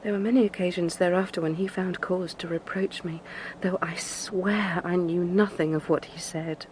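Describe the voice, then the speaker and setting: An actress playing a distressed, haunted young gentlewoman in an audio drama, speaking in a trembling, halting, earnest way. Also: lowish voice, steady tone, a little hiss or hum, natural voice, poor audio. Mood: troubled recounting.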